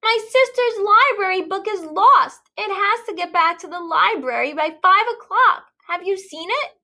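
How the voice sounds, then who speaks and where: A woman's voice: extremely high pitch, lively intonation, moderate pace, pushed and bright, pitched above the performer's own voice, full character voice. A woman voicing an earnest, eager little boy in a storybook, speaking simply. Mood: urgent.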